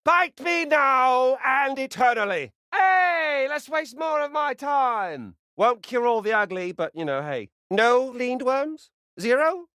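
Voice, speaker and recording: A man voicing a rude, sarcastic, foul-mouthed squirrel spirit in a video game, swinging between muttered asides and brash yells. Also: extremely high pitch, sing-song, slow pace, few pauses, no room sound, studio quality, full character voice. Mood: angry, taunting. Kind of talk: video game character acting.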